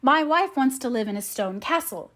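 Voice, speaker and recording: A woman's voice: sits high, expressive, moderate pace, fairly bright tone. A woman voicing a henpecked, reluctant fisherman in a mournful, pleading storybook manner. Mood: doleful, worried.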